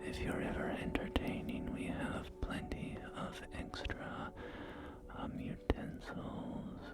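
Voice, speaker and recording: Slow whispering man, ASMR roleplay. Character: polite, helpful real estate agent in a post-nuclear wasteland. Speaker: ASMR roleplayer playing a real estate agent. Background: loud music and game audio.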